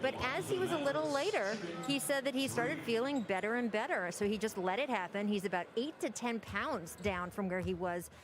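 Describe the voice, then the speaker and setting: A woman's voice: a little high, animated, brisk, few pauses, music in the background. A woman giving a sideline report during a basketball broadcast. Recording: noisy replayed arena broadcast audio.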